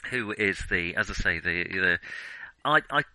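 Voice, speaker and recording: Bright, crisp-edged man discussing films, haltingly. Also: low voice, lively intonation, dry recording, low-quality recording. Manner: halting, digressive, thinking aloud. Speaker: film podcast co-host. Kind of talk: casual film review discussion.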